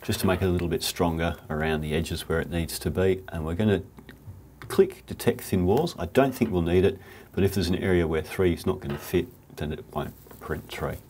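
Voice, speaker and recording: Low-voiced man with a cold. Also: fairly quick, a little hiss or hum. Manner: relaxed, chatty, rambling asides. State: losing his voice, sniffly cold. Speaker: workshop channel host. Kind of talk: hands-on tutorial. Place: home garage workshop.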